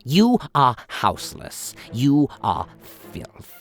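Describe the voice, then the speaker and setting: A man's voice: mid-range voice, huge pitch swings, vocal fry, pitched above the performer's own voice, heavily acted. A man voicing an alien prison warden in a radio play, righteous and accusing, seething as he draws out his words. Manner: seething, slow, drawn-out delivery.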